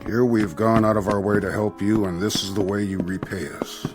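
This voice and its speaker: Man playing Death in human guise, low voice, formal, officious, quietly menacing, radio play, music clearly behind. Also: steady tone, close to an everyday voice. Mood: stern, warning. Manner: measured, officious, coolly menacing. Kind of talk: radio drama acting.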